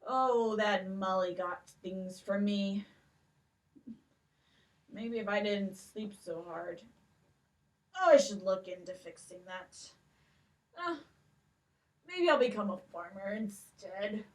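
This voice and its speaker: A woman voicing a sleepy, boastful male giant in a children's puppet show, loud and blustering. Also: medium pitch, slow, pauses often, recorded from a distance, natural voice, poor audio. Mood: self-pitying. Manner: loud, blustering, self-pitying. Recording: voice from off the mic.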